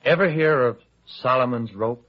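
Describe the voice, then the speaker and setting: An actor playing a wisecracking, eager tabloid reporter in an old-time radio drama, glib, teasing and theatrical. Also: male voice, medium pitch, normal speed, close to an everyday voice. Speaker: newspaper reporter. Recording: dull lo-fi old radio recording.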